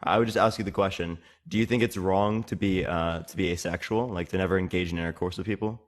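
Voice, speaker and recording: A man's voice: low voice, fairly even intonation, fast talker. A man hosting a debate, questioning methodically and pressing his point with repeated tag questions. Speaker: debate host.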